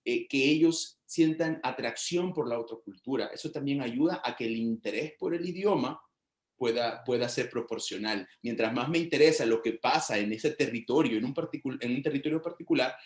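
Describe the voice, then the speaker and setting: A man presenting research findings in an academic discussion, speaking in a measured, explanatory way with frequent restarts. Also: medium pitch. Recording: roomy echo.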